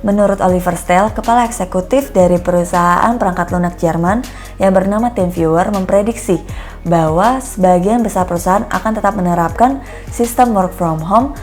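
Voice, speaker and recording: A woman presenting an explainer segment, reading her script in an upbeat tone. Her voice sits at an ordinary middle pitch. Faint music plays underneath her. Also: moderate pace, a little mellow. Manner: upbeat, mixes English phrases. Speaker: host.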